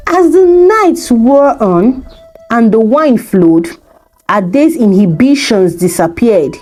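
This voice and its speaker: A woman's voice: a little high, dark tone. A woman narrating a folktale as a storyteller, reading from a script.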